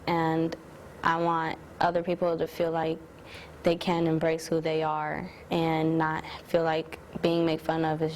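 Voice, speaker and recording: Teenage girl, low and flat voice, soft-spoken, hesitant, faint background hiss. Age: teens. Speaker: student interviewee. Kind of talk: documentary interview answers.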